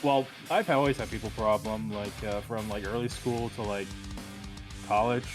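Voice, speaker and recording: A man's voice: fairly low pitch, moderate pace. A man in casual chat as a guest on a stream. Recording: music playing behind the voice. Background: plucked guitar music.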